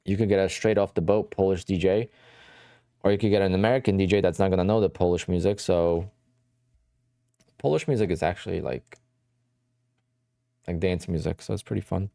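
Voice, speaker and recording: Man, very low voice, mellow tone, casual rambling livestream chat. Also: brisk, creaky, long pauses, dry recording. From New Jersey. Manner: rambling, conversational, answers chat questions. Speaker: day trading livestream host.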